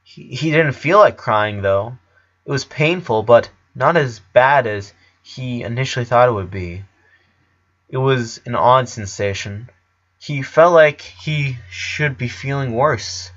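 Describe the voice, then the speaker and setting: Bright-voiced man narrating a story, dull recording. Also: mid-range voice, slow pace. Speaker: fan fiction reader. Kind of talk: dramatic audiobook narration with character voices.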